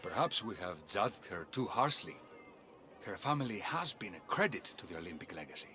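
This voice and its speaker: A lowish, dignified Greek official. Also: male voice, big pitch swings, moderate pace, bright, forceful tone. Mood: conciliatory. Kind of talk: video game dialogue acting.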